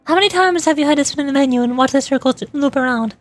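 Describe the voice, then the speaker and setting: A woman's voice: high voice, quick pace. A young woman streamer chatting in a playful, teasing way and laughing often. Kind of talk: casual chat while voicing game lines.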